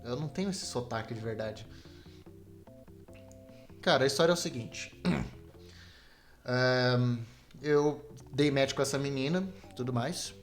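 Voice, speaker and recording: A man's voice: mid-range voice, slow pace, long pauses. A young adult man with a Brazilian Portuguese accent rambles casually as a solo podcast host, slangy and self-deprecating. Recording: video game music behind the voice. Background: Super Nintendo game music.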